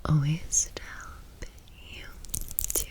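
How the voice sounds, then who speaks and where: A woman hosting ASMR in a gentle whisper, drawing out and repeating words and phrases. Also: slow. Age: 30s. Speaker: ASMR video host.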